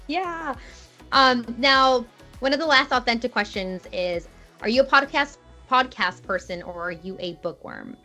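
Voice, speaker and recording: A woman's voice: a little high, unhurried, pushed and bright, faint music behind. A woman in her thirties hosting an interview podcast, bubbly and affirming, with frequent fillers. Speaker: podcast host. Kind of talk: casual interview chat.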